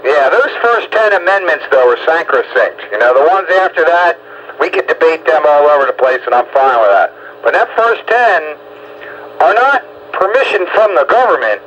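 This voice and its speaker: A man's voice: a little high, sing-song, moderate pace, a bit bright. An American man in a casual political discussion, opinionated and insistent, often stammering and repeating words as he makes his case. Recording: dull lo-fi radio audio, faint noise.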